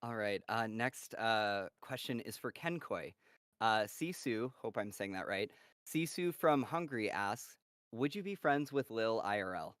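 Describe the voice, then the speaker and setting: Low, smooth-voiced man hosting. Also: animated, unhurried, a bit bright, no echo, clean studio sound. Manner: laughs often, chatty and encouraging. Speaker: host of the cast Q&A. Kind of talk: casual livestream moderating.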